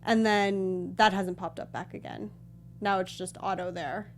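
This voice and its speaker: Female co-host, medium pitch, conversational and informal video game discussion. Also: steady tone, measured pace, a little hiss or hum. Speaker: talk show co-host. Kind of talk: casual chat about video games.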